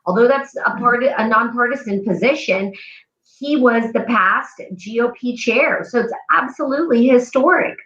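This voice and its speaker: A woman from South Texas, a political candidate being interviewed, speaking in a confident, steady way. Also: a little high, normal speed, reverberant, highs cut off. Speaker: congressional candidate guest. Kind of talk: interview, campaign talk.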